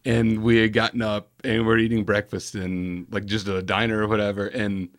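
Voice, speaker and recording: A man's voice: lowish voice, level tone, moderate pace, no echo, studio quality. A man with a Southern American accent, a touring musician casually telling stories in an interview and acting out the dialogue. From Alabama, American South. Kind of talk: casual chat, storytelling.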